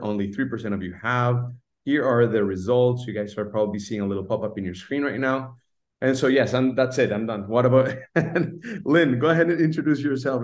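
Chatty man hosting a video-call webinar. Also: mid-range voice. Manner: chatty, many fillers and restarts. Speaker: webinar host. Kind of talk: casual hosted webinar. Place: home room with shelves and plants. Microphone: laptop webcam video call.

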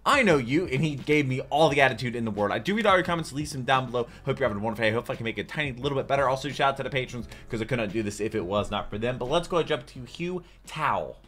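A man's voice: medium pitch, lively intonation, very fast, few pauses, a bit bright. A young man with an American accent giving chatty, rambling reaction commentary as a video host. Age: young adult. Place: small room at home, indoors.

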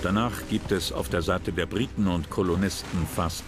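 A man with a low voice delivers scripted narration for a history documentary. Music plays clearly underneath him. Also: normal speed.

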